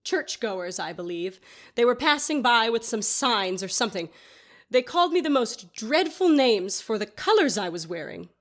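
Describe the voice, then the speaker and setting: A woman's voice: on the high side, sing-song, moderate pace. A woman playing a haughty, easily offended older lady in an audio drama, formal and scolding in manner. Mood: wistful, prim.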